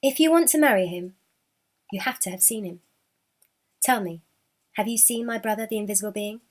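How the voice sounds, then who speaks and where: A woman's voice: medium pitch, fairly quick, lightly acted. A woman voicing a wise, kind sister in a children's folktale, exclaiming and questioning earnestly. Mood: gentle, welcoming. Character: wise, gentle, wonder-filled sister of a spirit.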